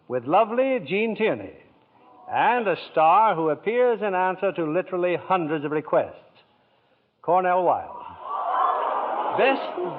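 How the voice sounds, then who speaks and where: High-voiced 1940s radio host, tinny. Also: male voice. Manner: polished, genial announcer delivery.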